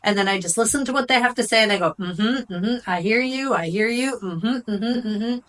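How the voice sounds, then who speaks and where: A woman's voice: fairly high pitch, normal speed, a bit bright. A middle-aged woman counselor talking casually in an interview, chatty and repetitive, often saying "you know" and "right". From Greek family background. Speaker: therapist guest.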